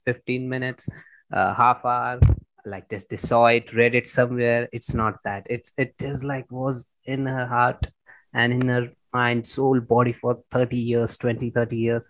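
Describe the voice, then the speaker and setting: A man's voice: mid-range voice, dry recording, low-quality recording. A man hosting a conversational interview, effusive and often repeating and rephrasing himself as he goes. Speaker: interviewer, host.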